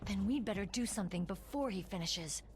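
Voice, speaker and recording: A woman's voice: medium pitch, expressive, a little fast, crowd noise, natural voice. An actress playing an earnest, determined young female soldier in a dramatic video game scene. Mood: matter-of-fact. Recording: game audio under background music.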